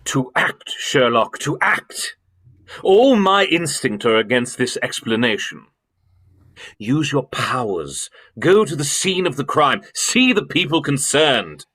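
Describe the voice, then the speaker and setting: A man acting a weighty, authoritative gentleman in a radio play, urging and commanding. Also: mid-range voice, very animated, takes it slowly, husky, heavily acted. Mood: urgent, commanding. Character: weighty, authoritative gentleman, urgent elder brother of detective.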